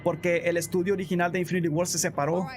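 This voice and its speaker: A man's voice: high voice, level tone, fast, soft background music. A man streaming live, answering chat in a casual way, calling viewers bro and often restarting his sentences. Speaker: gaming streamer.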